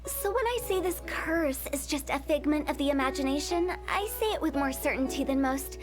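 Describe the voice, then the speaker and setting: A woman's voice: high voice, close to an everyday voice. A woman voicing a playful young female funeral parlor director in a video game, breezy and teasing as she explains things. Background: game music.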